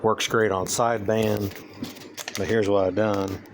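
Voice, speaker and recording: A man's voice: lowish voice, level tone, unhurried, a little hiss or hum. A man giving a casual hands-on demonstration, talking in a plainspoken, folksy way with informal grammar. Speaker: hobbyist presenter demonstrating radio gear.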